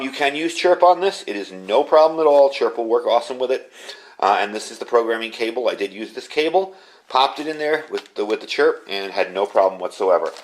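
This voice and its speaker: Male product reviewer, medium pitch, casual hands-on demo delivery, enthusiastic and informal explainer. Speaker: ham radio product reviewer.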